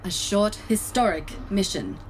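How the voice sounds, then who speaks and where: A woman's voice: medium pitch, sing-song, measured pace, a little hiss or hum, poor audio. Woman playing a stern, by-the-book space captain. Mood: earnest, defensive. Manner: formal, earnest, clipped, officious. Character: disciplined, by-the-book military female starship co-captain, proud. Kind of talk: scripted science-fiction comedy audio drama.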